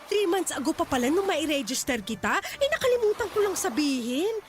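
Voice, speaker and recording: A woman playing a shrill, bossy stage mother. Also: sing-song, a little fast, faint music behind. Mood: domineering. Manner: bossy, scolding, dismissive. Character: pushy, domineering middle-aged stage mother. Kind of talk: audio drama acting.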